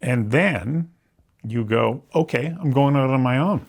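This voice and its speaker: Gravelly interview host, curious. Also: male voice, medium pitch, a little slow. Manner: curious, prompting questions. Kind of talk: conversational interview.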